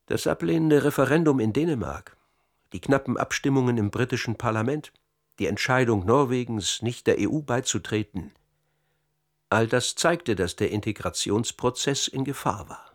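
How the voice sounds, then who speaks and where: An actor playing a grave insider in a radio drama, explaining in a measured way. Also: male voice, mid-range voice, close to an everyday voice. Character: grave male insider recounting a secret European conspiracy.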